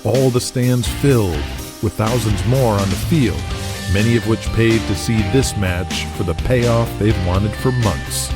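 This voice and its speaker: A man with a low voice delivers scripted narration for an audio drama. He tells it dramatically in the present tense, over a loud music bed. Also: unhurried.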